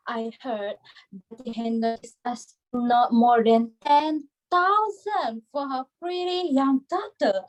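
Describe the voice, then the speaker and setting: A woman's voice: high voice, a little mellow, rough recording. A woman playing a smug, gossipy society lady in a dramatised reading, self-assured and dismissive.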